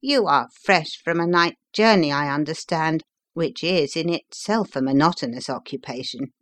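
A woman's voice: deep voice, normal speed, fairly bright tone. A woman voicing a courteous male doctor in a storybook, concerned, firm and professional. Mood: courteous, measured.

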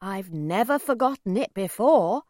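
A woman acts out a very old storybook gentleman with lively, swooping intonation. He is courteous and gentle, pleading in a genteel, wheedling way. The delivery is unhurried. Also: mid-range voice, no room sound, studio quality. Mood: flustered, earnest.

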